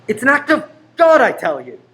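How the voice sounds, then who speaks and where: A man's voice: very high voice, huge pitch swings, normal speed, fairly bright tone, heavily acted. A man playing a cheeky, meddling greengrocer who flirtatiously offers help in a radio play. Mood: combative. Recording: echoey room, faint background noise.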